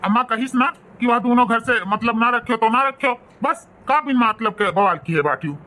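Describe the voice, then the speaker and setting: A man voicing a placating village husband and son in an Indian cartoon, with an Awadhi accent and a high, altered voice. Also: fairly quick, bright, forceful tone, slight background noise. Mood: exasperated. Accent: Awadhi, northern India. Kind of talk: rural family cartoon dialogue.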